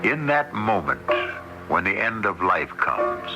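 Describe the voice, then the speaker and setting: Loud music swells behind a man narrating a vintage radio drama. His voice is deep, and he delivers the scripted lines slowly, in a measured and reflective way. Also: sing-song, lo-fi sound. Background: prominent music.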